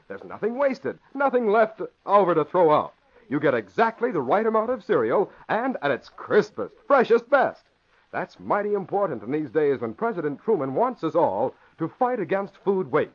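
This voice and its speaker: A man announcing in 1940s radio style, with a polished, emphatic salesmanlike delivery. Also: high-pitched. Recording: dull vintage radio broadcast recording.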